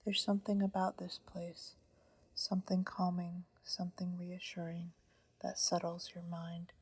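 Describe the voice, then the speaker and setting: A woman guiding a sleep meditation in a slow, soothing whisper, close to the microphone. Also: lowish voice, completely flat delivery, husky, no echo. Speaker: meditation guide.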